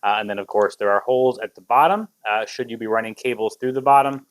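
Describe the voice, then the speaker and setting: A man's voice: lowish voice, quick pace. A man, hosting a casual product review in an upbeat, conversational manner. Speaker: tech review video host.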